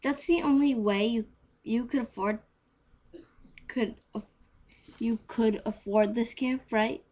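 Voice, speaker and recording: A woman's voice: on the high side, takes it slowly, pauses often, darkish tone. A teenage girl voicing a haughty, prying queen-bee teen with a pointed, condescending manner in an amateur audition reading. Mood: scornful.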